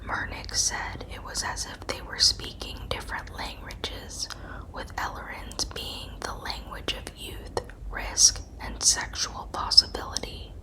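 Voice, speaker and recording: Whispering woman narrator, slow and hushed. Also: rough recording. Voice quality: breathy unvoiced whisper. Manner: hushed, measured reading. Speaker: true crime ASMR narrator. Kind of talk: whispered ASMR scripted narration.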